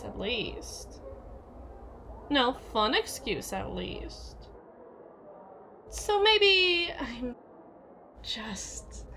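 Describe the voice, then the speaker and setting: A woman's voice: fairly high pitch, fairly bright tone, a little hiss or hum, exaggerated voice. A woman playing a domineering, possessive, jealous young yandere in a scripted ASMR roleplay, with a sardonic, needling delivery. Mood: hesitant, deflecting. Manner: sardonic, foul-mouthed, needling, with hesitant trailing repeats.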